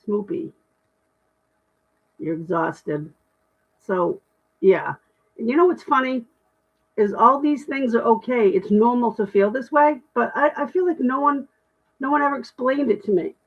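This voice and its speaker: Middle-aged woman with a deep voice, casual and candid livestream host, thin phone-quality audio. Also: moderate pace, long pauses. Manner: chatty, self-deprecating, candid. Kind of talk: casual livestream chat reading comments.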